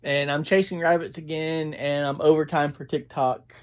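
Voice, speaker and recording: A reviewer, chatting casually in a halting, digressive way as host of a review. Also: mid-range voice, flat delivery. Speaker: audio drama reviewer, host. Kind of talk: casual rambling review chat.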